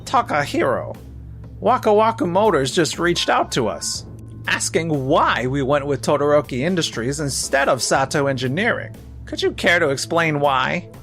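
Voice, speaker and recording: Stern high-pitched manager, acted by a man. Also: sing-song, moderate pace, a bit bright, faint music behind. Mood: probing. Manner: stern, probing, reproachful. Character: stern, exacting corporate manager confronting a subordinate. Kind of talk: scripted manga dub voice acting.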